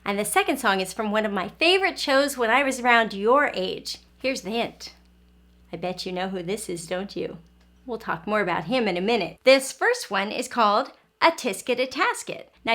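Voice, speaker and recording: A woman hosting an interactive read-aloud for young children, speaking in simple, expressive teacher talk and often pausing to ask the listener questions. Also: fairly high pitch.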